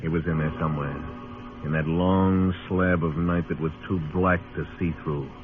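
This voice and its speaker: An American actor playing a tough, laconic Old West marshal, narrating and speaking tersely in an old radio drama. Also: male voice, sits low, fairly even intonation, moderate pace, close to an everyday voice. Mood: terse, watchful. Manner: terse, dry. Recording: tinny old radio recording with music.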